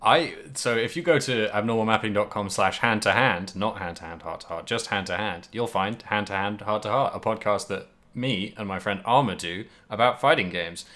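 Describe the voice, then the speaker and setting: A man's voice: lowish voice, normal speed, few pauses, pushed and bright. A man co-hosting a podcast, chatting casually about video games. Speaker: podcast co-host.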